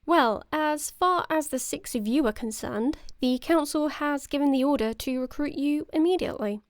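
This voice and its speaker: An actress playing a composed, authoritative organization leader in an audio drama, speaking formally and with smooth reassurance. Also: female voice, sits high, moderate pace, dry recording, studio quality. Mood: cool, formal.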